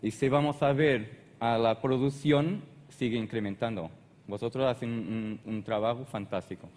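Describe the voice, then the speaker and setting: A man's voice: mid-range voice, fairly even intonation, darkish tone. Portuguese-accented man lecturing in a hall. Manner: halting, searches for words and restarts often. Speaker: conference speaker. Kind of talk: technical conference presentation.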